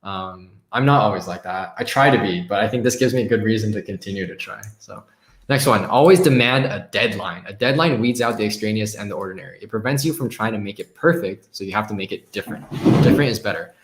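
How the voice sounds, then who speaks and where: A man hosting a live stream, reading quotes aloud and reacting in a relaxed, upbeat way with frequent laughs. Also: lowish voice, fast talker, reverberant.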